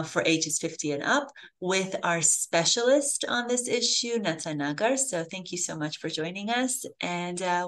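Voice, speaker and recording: Woman hosting an interview, lower voice. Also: fairly bright tone. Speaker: host, elder law lawyer.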